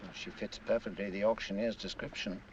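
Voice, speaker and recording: A man's voice: on the low side, fairly even intonation, fairly quick, natural voice. An actor playing a watchful criminal henchman in a vintage mystery film. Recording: muffled film sound, slightly distant, faint noise.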